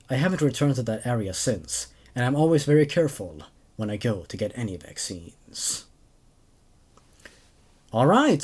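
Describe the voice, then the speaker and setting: Expressive young man with lively pitch. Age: 20s. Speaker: host reading horror stories. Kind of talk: dramatic story narration, then casual commentary.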